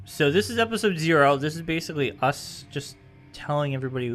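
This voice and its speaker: A man in his mid-thirties, slightly higher-pitched than most men, hosts a relaxed podcast chat. He talks in a loose, rambling way, hedging his plans and laughing easily with his co-host. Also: fast talker, soft background music. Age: 30s. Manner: easygoing, rambling, hedges with "I guess".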